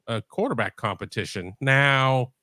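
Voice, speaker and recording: A man's voice: medium pitch, animated, a little slow, pushed and bright, dry recording. A man calling into a sports talk show, earnest, repeating himself as he makes his point. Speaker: caller.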